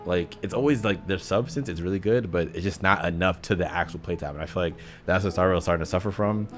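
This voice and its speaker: A man's voice: deep voice, quick pace, faint music behind. A young man giving casual, blunt game reaction commentary with slang and swearing. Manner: slangy, blunt, profane, says bro often. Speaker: gaming reaction video creator.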